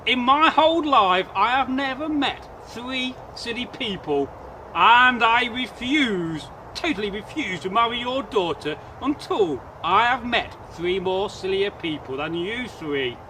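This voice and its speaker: A man voicing an indignant young Saxon warrior, slow and emphatic, recorded outdoors with traffic noise. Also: very high voice, animated, pushed and bright, exaggerated voice, poor audio.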